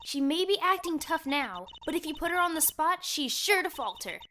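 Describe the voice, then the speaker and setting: An actress plays a youthful female mentor in a game drama, coaxing and encouraging. The voice is extremely high, over faint noise. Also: animated, normal speed, sharp tone. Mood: urgent, encouraging. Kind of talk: video game fandub voice acting.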